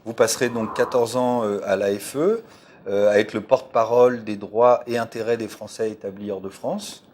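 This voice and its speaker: A man interviewing a guest, asking formal, measured questions. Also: fairly low pitch, fairly even intonation, a little mellow, slight background noise.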